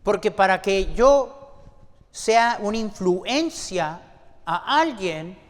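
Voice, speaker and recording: A man preaching a sermon to his congregation, deliberate and asking them rhetorical questions. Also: high voice, expressive. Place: church sanctuary. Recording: long church-hall reverb.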